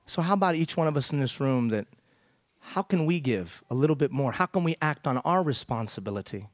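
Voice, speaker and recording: A man's voice: medium pitch, animated, fairly quick, no echo, clean studio sound. Emphatic man giving a keynote speech. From Iran. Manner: emphatic, rhetorical, asks the audience questions. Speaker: keynote speaker. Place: large theater stage. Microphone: headset mic.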